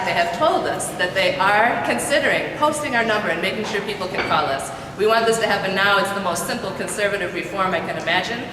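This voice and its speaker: Woman speaking in a very echoey hall. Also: medium pitch. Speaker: attorney speaking at a press conference. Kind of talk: public press conference statement. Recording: very echoey hall, distant mic, noisy.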